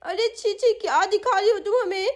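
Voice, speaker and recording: Woman voicing an excitable, very high-pitched little girl. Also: normal speed. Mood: alarmed, urgent. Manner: exclamatory, urging. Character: bossy, excitable little girl in a doll story. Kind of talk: children's cartoon doll story acting.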